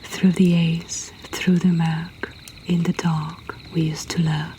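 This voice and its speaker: A woman softly whispering a poetry reading as an intimate storyteller host, breathy and deliberate with long pauses. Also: low voice, level tone, a little hiss or hum.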